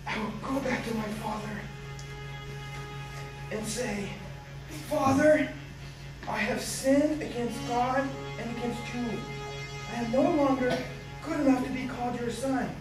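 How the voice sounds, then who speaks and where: A woman playing a young prodigal son in a puppet show, with an exaggerated, drawn-out, childlike delivery. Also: a little high, natural voice. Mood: miserable. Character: young prodigal son puppet, gleeful spender turned starving penitent. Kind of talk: puppet show Bible story. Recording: echoey, distant, music behind.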